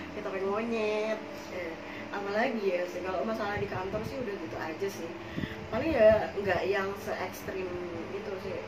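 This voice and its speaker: Hoarse young woman chatting from across an echoey room. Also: mid-range voice, normal speed. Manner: rambling, full of fillers, chatty and frank. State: hoarse, coughing. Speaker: podcast co-host telling her own experiences. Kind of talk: casual chat in Jakarta slang. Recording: echoey room, far from the mic, noisy.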